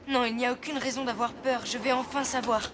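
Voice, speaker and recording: A woman's voice: on the high side, steady tone, quick pace, background noise, lightly acted. A woman voicing a brave, stubborn young boy hero in a cartoon dub. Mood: determined, curious. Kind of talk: animated series dubbing. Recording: music behind the voice.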